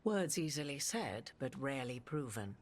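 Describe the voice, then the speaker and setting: Regal, very low-voiced witch. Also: very animated, moderate pace, heavily acted. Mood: grave, aloof. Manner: formal, archaic, theatrical phrasing. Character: regal, cryptic witch and arcane advisor woman. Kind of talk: video game dramatic acting.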